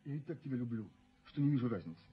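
An actor playing an earnest, evasive young fiancé in a radio play, halting and repeating himself, stubborn and easily flustered. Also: male voice, mid-range voice, expressive, breathy edge. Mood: evasive, uneasy. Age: young adult. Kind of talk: Soviet radio play acting. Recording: dull, muffled 1970s radio recording.

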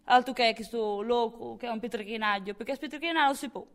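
A woman's voice: a little high, fast talker, fairly bright tone. An actress playing a complaining, gossiping village woman with a Sardinian accent from Gallura, full of exclamations. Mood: grumbling. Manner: exclaiming, grumbling, chatty. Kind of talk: comic dialect audio drama dialogue.

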